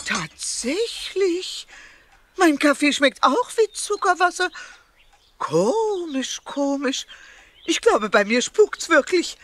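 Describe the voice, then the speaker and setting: An actress playing a puzzled, flustered aunt in a children's audio play, baffled and musing. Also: female voice, sits high, big pitch swings, slow pace, heavily acted.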